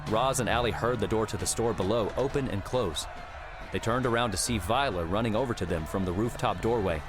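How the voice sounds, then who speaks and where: A man's voice: fairly low pitch, fairly quick. A man reading scripted third-person fiction as an audiobook narrator. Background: prominent music with outdoor ambience.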